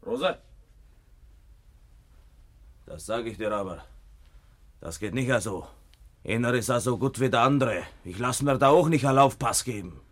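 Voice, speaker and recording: A man's voice: medium pitch, lively intonation, normal speed, pauses often, natural voice. An actor playing a coarse, menacing bully in a German radio drama, mocking and taunting as he speaks. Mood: sneering.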